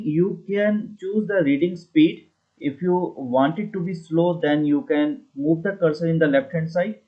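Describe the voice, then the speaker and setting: Higher-voiced man giving a software tutorial. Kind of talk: casual step-by-step screen tutorial narration. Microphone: voice-over for screen recording. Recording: dull lo-fi voice recording.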